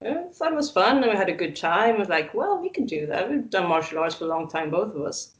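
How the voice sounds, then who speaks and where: A woman guest wrestler telling stories in a casual interview, animated and laughing often, with frequent "um" pauses. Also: lowish voice, highs cut off. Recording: roomy echo.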